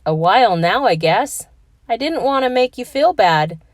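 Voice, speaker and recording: A woman voicing a shy, bookish schoolboy in a children's storybook read-aloud, speaking gently and a bit apologetically. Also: a little high, lively intonation, normal speed, no echo, studio quality, voice pushed up, natural voice. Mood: sheepish.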